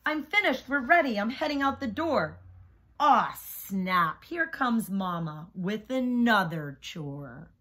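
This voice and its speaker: A woman's voice: mid-range voice, very animated, slow pace, a bit bright, full character voice. A woman voicing an impatient young girl in a picture book, complaining dramatically about having to work. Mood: exasperated. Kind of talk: picture-book character reading.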